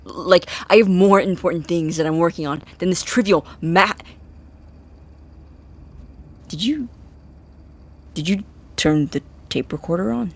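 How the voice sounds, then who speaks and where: A woman playing a nervous, awkward young scientist in a naturalistic audio drama, husky and halting, easily flustered. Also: medium pitch, lightly acted. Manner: halting, stammering, indignant when challenged.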